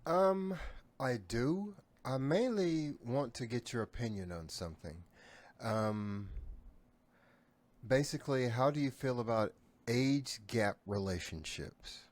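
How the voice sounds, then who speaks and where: A man chatting casually as a podcast co-host, a bit halting as he thinks out loud. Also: mid-range voice, animated. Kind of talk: casual chat.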